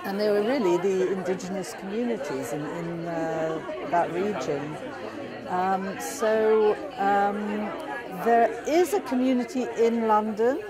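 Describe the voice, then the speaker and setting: A woman explains things slowly and carefully, pausing as she goes. She is being interviewed amid loud crowd chatter in a busy hall. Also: mid-range voice. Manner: hesitant, measured, explanatory. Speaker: interviewee explaining community history. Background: crowd at a party.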